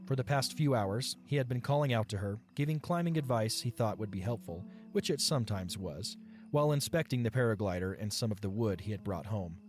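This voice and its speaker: A man delivers scripted story narration for an audio drama. His voice sits somewhat low, and music plays softly behind his reading. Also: a little fast. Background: background music.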